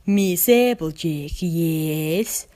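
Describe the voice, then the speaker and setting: A woman's voice: low voice, mellow. Woman voicing a grave, officious stallion agent. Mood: formal. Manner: formal, measured, bureaucratic. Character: serious, officious business-suited stallion agent.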